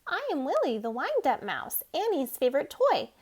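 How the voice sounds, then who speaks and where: A small, contented wind-up toy mouse from a storybook, acted out by a woman. He speaks in a very high voice with a friendly, matter-of-fact chattiness. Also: huge pitch swings, moderate pace. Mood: cheerful, proud.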